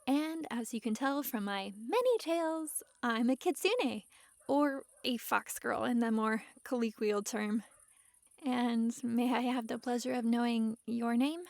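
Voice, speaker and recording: A young fox girl played by a woman speaks high and slowly in an intimate ASMR roleplay. She is coy and doting, with a gently possessive, coaxing softness, and her voice has a creaky edge in a very dry, close sound. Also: no echo. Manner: soft, breathy sighs and giggles, coaxing, hesitant asides.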